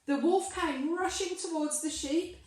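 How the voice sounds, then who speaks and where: A woman reading a children's story aloud with emphatic, deliberate delivery, addressing questions to young listeners. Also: extremely high pitch. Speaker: primary school storyteller. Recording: very echoey room, distant microphone.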